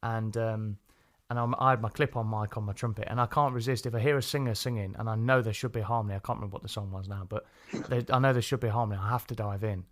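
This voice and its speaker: A man's voice: lowish voice, fairly even intonation, quick pace. Easygoing male podcast host. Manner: rambling, easygoing, many fillers. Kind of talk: casual interview chat.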